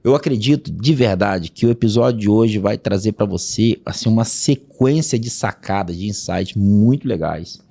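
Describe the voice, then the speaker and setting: A man's voice: on the low side, moderate pace, creaky, hardly any pauses, warm tone. A man hosting a business podcast, upbeat and chatty, welcoming guests and leading the talk. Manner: upbeat, chatty, uses fillers like "né". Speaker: podcast host. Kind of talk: podcast interview.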